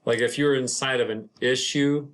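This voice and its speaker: A man narrating an informal screen-share software tutorial in a halting, unscripted, explanatory way. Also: medium pitch, steady tone. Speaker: property manager presenting a software tutorial.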